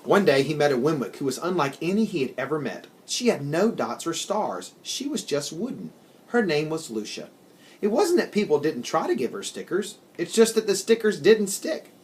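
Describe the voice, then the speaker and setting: A man reading a children's story aloud in an expressive, deliberate storytelling manner. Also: a little high, a little hiss or hum. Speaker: story reader. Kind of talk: scripted children's storybook narration.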